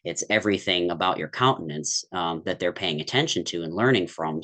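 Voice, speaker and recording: A man's voice: deep voice, bright, forceful tone. A man talking candidly as a podcast guest, thoughtful and rambling, with many restarts and fillers. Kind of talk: candid conversational podcast talk.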